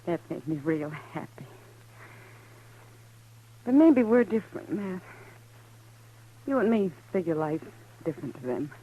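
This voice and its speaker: An actress playing a gentle, warm-hearted saloon keeper woman in a 1950s radio drama, speaking softly and tenderly. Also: on the low side, very animated, measured pace, pauses often, slightly rough, exaggerated voice. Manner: soft, quiet and tender. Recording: dull old radio broadcast.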